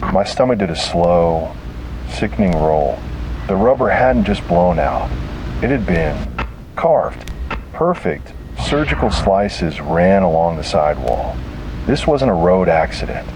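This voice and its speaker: Deep-voiced man narrating horror over noise. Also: big pitch swings, a little slow. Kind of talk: scripted first-person horror narration. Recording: noisy found-tape style recording.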